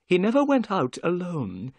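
High-pitched, fussy little nervous gentleman. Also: moderate pace, creaky, slightly rough, no echo, full character voice. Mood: anxious storytelling. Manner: excitable, anxious, theatrical. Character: strange little bald nervous excitable gentleman, fussy and anxious.

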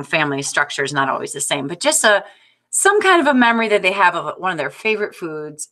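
Bright, forceful lower-pitched woman. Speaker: teacher sharing a picture book in a discussion group. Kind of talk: informal explanatory talk. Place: small office on a video call. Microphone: computer mic on a video call.

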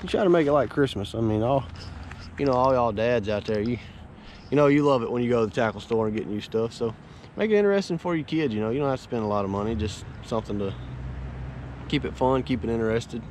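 A man's voice: medium pitch, animated, fast, darkish tone, a little hiss or hum. A man, a fishing charter captain talking casually to camera by a pond while coaching his kids. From Florida Panhandle, US. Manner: easygoing, rambling, coaching kids between asides. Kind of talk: casual outdoor vlog talk. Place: outdoors by a neighborhood pond. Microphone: wearable action camera.

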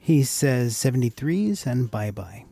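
A man with a gravelly, husky voice giving a casual, explanatory tutorial voice-over as the host of a hobby channel. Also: mid-range voice, very animated, a little slow, dark tone, no echo, faint music behind. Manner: casual, addresses viewers as guys.

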